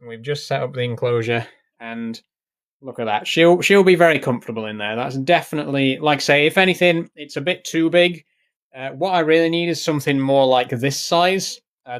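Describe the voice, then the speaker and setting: A young man chatting casually on a live stream from his bedroom, rambling with lots of fillers. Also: medium pitch. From England. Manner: rambling, relaxed, many fillers. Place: bedroom at home.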